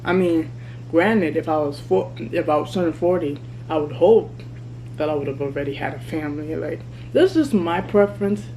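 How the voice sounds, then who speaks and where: A woman in her thirties talking candidly to her vlog camera while she eats, chatty and relaxed. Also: deep voice, moderate pace, a little hiss or hum, poor audio. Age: 30s. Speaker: vlogger. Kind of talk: casual mukbang vlog chat. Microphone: camera mic.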